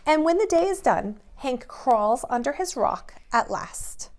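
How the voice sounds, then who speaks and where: Slow-paced woman teaching young children. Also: high-pitched, very animated. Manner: simple, guiding phrasing for young children. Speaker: preschool teacher. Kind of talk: expressive picture-book read-aloud and children's drawing lesson.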